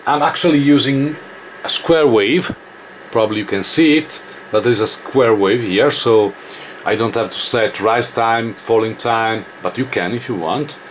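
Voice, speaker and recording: Slow-talking man giving a hands-on demo. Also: mid-range voice, a little hiss or hum, poor audio. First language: not English. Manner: halting, thinking aloud while working. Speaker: electronics demonstrator. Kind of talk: informal hands-on technical tutorial.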